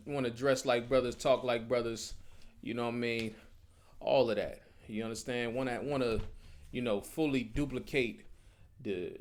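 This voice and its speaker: Man preaching informally. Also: medium pitch. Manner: repetitive, peppered with rhetorical check-in phrases. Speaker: religious teacher leading a class. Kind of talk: informal sermon.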